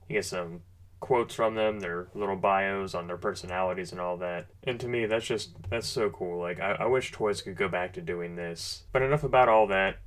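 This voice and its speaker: A man with a somewhat low-pitched voice presents a hobby product review. His delivery is unscripted and conversational. He comes across as an informal enthusiast who talks through each detail casually. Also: normal speed.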